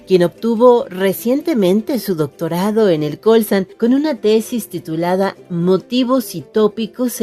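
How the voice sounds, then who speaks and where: A woman's voice: medium pitch, warm tone. A woman hosting a podcast, reading a prepared welcome and an introduction of the guest. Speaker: podcast host.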